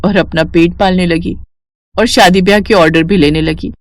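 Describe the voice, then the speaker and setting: A woman's voice: on the low side, fairly even intonation, normal speed, dry recording, studio quality, close to an everyday voice. A woman voicing a weary, devoted young street-food seller, plaintive and lamenting in a melodramatic story. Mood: resigned.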